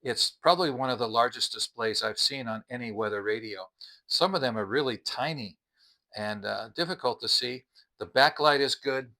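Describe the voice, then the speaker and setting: A mature man talks through a product in a casual review style. His voice is bright and forward, sitting at an average male pitch. He explains things plainly and methodically, point by point. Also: moderate pace.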